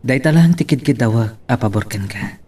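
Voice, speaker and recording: A man playing a tender, hesitant lover in an Ilocano love drama, husky, with an Ilocano accent. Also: medium pitch, lively intonation, moderate pace, dark tone, close to an everyday voice. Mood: reluctant, gentle. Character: hesitant, tender, secretly torn male lover. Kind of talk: Ilocano radio-style love drama acting.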